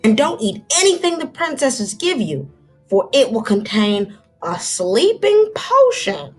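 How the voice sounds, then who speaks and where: A woman's voice: on the high side, very animated, unhurried, soft background music, pitched above the performer's own voice. A woman voicing a mysterious, helpful old woman of the forest in a storybook, giving cautionary instructions. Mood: cautioning.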